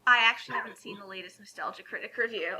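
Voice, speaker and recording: Bright, forceful American woman. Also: mid-range voice, fast talker. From United States. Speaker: co-host. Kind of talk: casual group chat.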